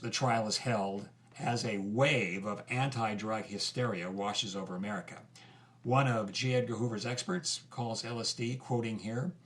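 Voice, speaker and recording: An actor playing an engaged investigator telling a story in a conversational, podcast-like narration. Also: male voice, mid-range voice, a bit bright, lightly acted.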